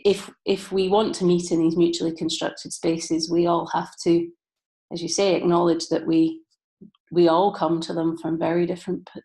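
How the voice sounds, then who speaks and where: A woman's voice: on the low side, creaky, warm tone. A woman from southeastern Scotland with a Scottish accent, speaking thoughtfully in a workshop discussion over a video call and searching for her words. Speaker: workshop participant. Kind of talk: reflective discussion.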